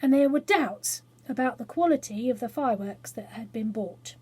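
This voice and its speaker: A woman with an English accent and a fairly high, breathy, husky voice. She talks to children in a chatty, simple way as a storyteller, moving between informal asides and reading from a storybook. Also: expressive, moderate pace, dark tone. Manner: chatty, self-deprecating, explains things simply for children. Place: small spare room study at home.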